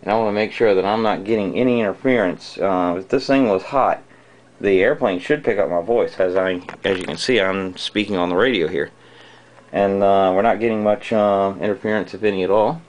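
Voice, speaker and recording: A man giving a casual, unscripted how-to demonstration, explaining methodically and haltingly with frequent pauses. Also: deep voice, rough recording. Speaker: hobbyist demonstrator.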